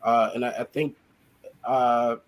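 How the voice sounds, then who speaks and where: A man's voice: medium pitch, flat delivery, takes it slowly, slight background noise. A man from Chicago, a guest on the show, reflecting on a family trip in a hesitant way with many ums and restarts. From Chicago, USA. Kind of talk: casual interview reflection.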